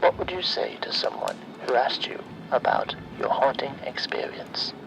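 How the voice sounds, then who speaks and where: Man acting a creepy, creaky automated survey voice. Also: mid-range voice, wildly swooping pitch, noisy background. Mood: eerily polite. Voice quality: breathy, often near-whispered, with creaky edge. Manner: measured, formulaic, deliberate announcements. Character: sinister automated phone survey voice, male, coolly polite. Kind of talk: horror ASMR roleplay, scripted phone menu.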